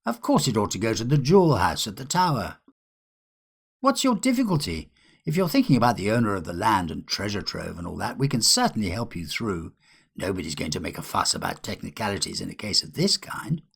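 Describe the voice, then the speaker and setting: A man voicing an eager, reassuring gentleman in a storybook, polite and emphatic in an old-fashioned way. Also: lowish voice, big pitch swings, a little fast, vocal fry, breathy edge, full character voice. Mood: excited, reassuring.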